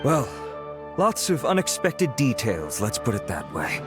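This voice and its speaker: A dutiful officer in a video game, with a mid-pitched voice. He reports in a composed, dry and measured way, with music clearly playing behind him. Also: huge pitch swings. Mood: composed, wry. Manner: measured, dry, matter-of-fact.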